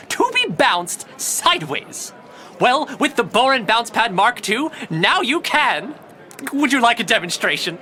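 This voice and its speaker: An actress playing a proud, pedantic, eccentric scientist and inventor in a game-world audio drama, fussy and emphatic. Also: female voice, mid-range voice, pushed and bright. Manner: pompous, fussy, dismissive, emphatic.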